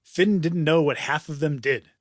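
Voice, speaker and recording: A man's voice: a little high, normal speed, dry recording, clean studio sound. A man reading a children's audiobook in a dramatic, playful storytelling manner. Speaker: author reading his own audiobook. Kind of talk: scripted children's story narration.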